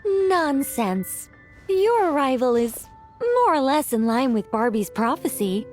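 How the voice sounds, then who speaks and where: A woman's voice: high voice, sing-song, slow pace, darkish tone, faint music behind, exaggerated voice. A woman playing a genteel, hospitable witch in a video game, polite, precise and faintly prim. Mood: composed, hospitable. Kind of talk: video game cutscene acting.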